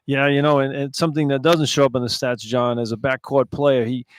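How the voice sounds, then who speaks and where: An older male radio analyst with an average-pitched voice talks through sports in a conversational way. He is on a broadcast headset mic and moves easily between analysis and banter. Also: flat delivery, a little fast, dry recording, clean studio sound. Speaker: radio color commentator.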